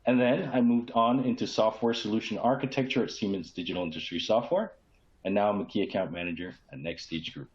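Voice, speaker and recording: A man's voice: lowish voice, fast talker. A man hosting a business webinar as a presenter. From San Juan, Puerto Rico. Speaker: host, key account manager. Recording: roomy echo, dull muffled sound.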